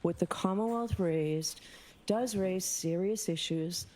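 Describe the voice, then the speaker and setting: A woman judge making formal, halting remarks in court, recorded with faint background noise. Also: on the low side, warm tone. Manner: halting, deliberate.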